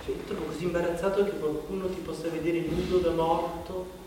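A male actor plays a self-conscious, fretful man in naturalistic stage dialogue. His voice sits slightly high and he fusses over his phrases, repeating them. The sound is distant and heavily echoing, with clear noise. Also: level tone, brisk, close to an everyday voice. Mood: embarrassed protest. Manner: fussy, repetitive. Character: embarrassed, fretful man dreading exposure after death. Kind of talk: naturalistic conversational theatre dialogue. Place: large reverberant performance space. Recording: distant, very echoey hall, noisy background.

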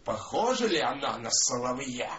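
An actor playing a scandalised husband in a declamatory, exclaiming radio monologue with drawn-out emphasis. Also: male voice, mid-range voice, sing-song, fairly bright tone, roomy sound, lo-fi sound. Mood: scandalised disgust. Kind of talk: theatrical monologue, radio play.

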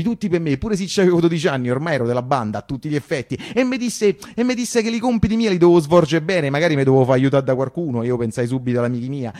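An actor playing a streetwise Roman man recalling his reckless wartime boyhood, in a colloquial, animated dialect monologue for radio theatre, repeating phrases for emphasis. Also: fairly high pitch, close to an everyday voice.

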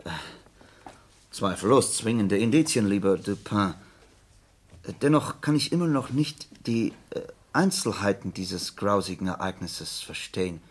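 An actor playing a detective's attentive, puzzled companion in a German radio play, speaking in a thoughtful, halting way. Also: male voice, on the low side, expressive, husky. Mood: hesitant, questioning.

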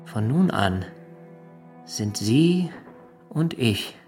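An actor playing a solemn, sentimental revolutionary reading out his letter in a radio drama, halting and intense, with a creaky voice. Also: mid-range voice, sing-song, a little mellow, faint music behind. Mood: devoted solemnity. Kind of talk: German radio drama acting.

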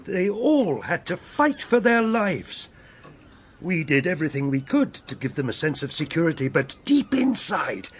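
A man's voice: sits high, slight background noise. An actor playing an anguished, intense older father in a radio drama.

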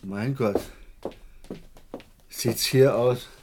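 Radio drama colleague: husky, mid-pitched, blunt, exasperated yet concerned. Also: male voice, extremely slow, darkish tone. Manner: blunt, urgent. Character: exasperated but concerned male colleague of a troubled woman. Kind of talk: radio play acting.

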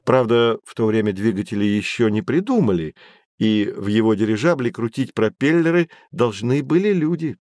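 An actor playing a knowledgeable man who guides children through an educational audio drama, explaining things in a clear didactic way. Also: medium pitch, lively intonation, normal speed, a little mellow, no echo, clean studio sound, lightly acted. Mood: patient, explanatory. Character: knowledgeable male teacher guiding children on adventures. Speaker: guide explaining history and technology.

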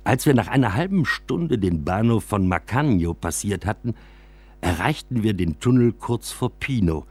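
A man reading a scripted literary story for radio as a first-person narrator, with expressive storytelling. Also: lowish voice, normal speed, husky, no echo.

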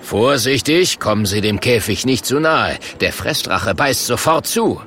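Guy doing a grumbly old storybook monster, greedy and bossy, stretches words out, gravelly, bit of noise behind. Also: mid-range voice, lively intonation, fairly bright tone. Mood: boastful barking. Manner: drawn-out grumbling, barking showman calls.

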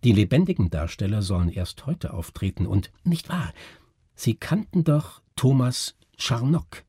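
A man voicing an inquisitive, formal gentleman who questions his companion in a literary story. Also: mid-range voice, very animated, a little slow, slightly rough, no room sound, studio quality, exaggerated voice. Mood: probing.

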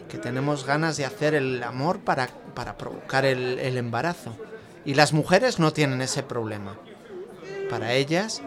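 Actor as candid, thoughtful man, accent from Spain, hesitant intimate delivery, mid-pitched voice, audio drama dialogue. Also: natural voice. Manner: hesitant, reflective, repeats words. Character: thoughtful, outspoken man confiding intimately in a friend. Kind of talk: Spanish audio fiction dialogue.

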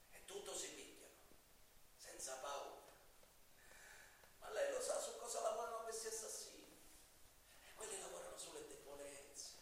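An actor playing a jailed mafia killer in a theatrical stage monologue, sly, mocking and menacing as he wheedles a judge, moving between murmurs and outbursts. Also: sits high. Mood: bitter, knowing. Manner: mocking, insinuating, swinging from hushed murmurs to loud outbursts. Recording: very echoey, distant, hissy live stage recording.

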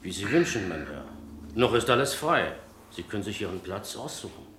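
An actor in a radio play as a courteous, secretive innkeeper, formal and obliging with theatrical intonation. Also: male voice, lowish voice, sing-song, normal speed, fairly bright tone. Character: courteous, secretive male café landlord. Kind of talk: German radio play acting. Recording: echoey room, faint background noise.

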